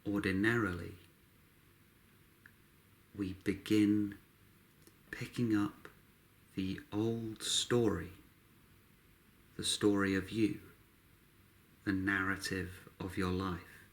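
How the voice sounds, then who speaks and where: A man guiding a meditation, speaking calmly in short, unhurried phrases with long pauses between them. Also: deep voice. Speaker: meditation guide. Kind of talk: guided meditation narration.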